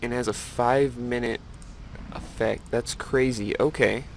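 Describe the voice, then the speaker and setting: A man with an average-pitched voice and a noticeable fry talks through his gameplay. He thinks aloud in a casual, rambling way and teases himself a little. The recording has a slight layer of noise. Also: steady tone, measured pace. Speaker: gamer narrating his own playthrough.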